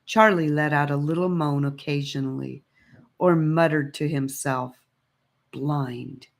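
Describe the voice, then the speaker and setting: A woman narrating a scripted story in a measured, expressive storytelling manner. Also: sits low. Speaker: audiobook reader.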